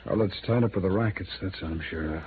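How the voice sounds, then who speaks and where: Man playing a worried city attorney, American, deep voice, earnest and dogged, old radio drama, muffled noisy recording. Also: quick pace, lightly acted. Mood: frustrated, tense. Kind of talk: 1950s radio drama acting.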